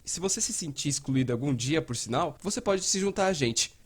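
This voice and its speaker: A Brazilian man acting out a breezy Brazilian young woman in an explainer skit. The talk is chatty and airy, with sweetly pointed innocence and a slightly high pitch. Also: expressive, fairly quick, breathy edge.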